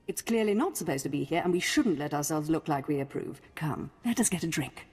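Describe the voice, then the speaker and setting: A woman's voice: low-pitched, expressive, fairly quick, breathy edge, big-room sound. An actress playing a snobbish, bossy noblewoman in a video game, genteel and disdainful.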